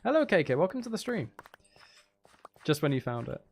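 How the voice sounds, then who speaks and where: A man's voice: a little high, big pitch swings, a little fast, creaky, husky. A man hosting a live stream, chatting casually with viewers in a rambling, chatty way.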